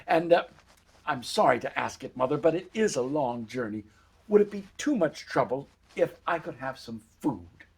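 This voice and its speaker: A man's voice: medium pitch, expressive, moderate pace, creaky, breathy edge, poor audio. A man voicing a naive, polite youngest brother in a fairy tale, hesitant, apologetic and earnest. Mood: grateful, hesitant.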